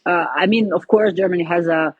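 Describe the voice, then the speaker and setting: A woman's voice: on the low side, fairly quick, breathy edge, dry recording, narrow, tinny audio. A young woman from Serbia with a Serbian accent, chatting casually in a podcast interview and filling her talk with "you know" and "like.". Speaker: guest, footballer and coffee entrepreneur.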